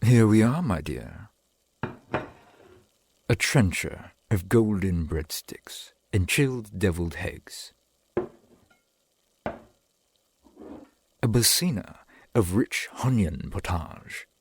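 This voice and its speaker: A man playing a courtly, doting centuries-old vampire boyfriend in an ASMR roleplay, speaking in formal, archaic, gently attentive phrases. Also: low-pitched, lively intonation, takes it slowly, gravelly, noisy background, exaggerated voice. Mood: proud, hospitable.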